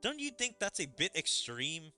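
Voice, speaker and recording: A man's voice: fairly high pitch, big pitch swings, moderate pace, pushed and bright. A man streaming a game, reading story dialogue aloud expressively and adding his own commentary over game music. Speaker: gaming streamer.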